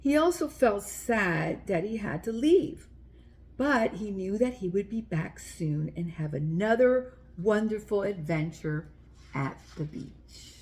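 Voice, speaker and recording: A woman's voice: mid-range voice, lively intonation, slow, a little mellow. Woman reading aloud to young children. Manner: addresses young listeners directly with simple explanations. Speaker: children's story time host and author. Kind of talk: children's read-aloud storytelling.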